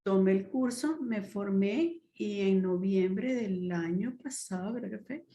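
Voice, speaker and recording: A woman's voice: mid-range voice, slow, dark tone. A Venezuelan woman who teaches Spanish and hosts a podcast. She speaks with a Venezuelan accent in an earnest, self-assured way, restarting phrases as she talks on a video call. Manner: earnest, self-assured, with repeated false starts. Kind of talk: casual conversation.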